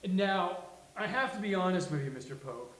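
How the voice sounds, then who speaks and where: A man's voice: high-pitched, lively intonation, normal speed, a bit bright. A man reading a dry, sarcastic sitcom lawyer at a live table read, with hissy room sound. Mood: wry. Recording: roomy live venue, clear hiss.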